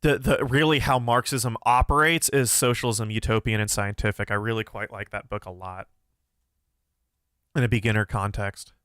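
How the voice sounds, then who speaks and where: A man's voice: on the low side, fairly even intonation, moderate pace, a bit bright, clean tone, no room sound, studio quality. A young man hosting a philosophy livestream, answering chat questions in a casual, thoughtful way with many fillers and restarts, his voice a bit nasal. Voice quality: somewhat nasal.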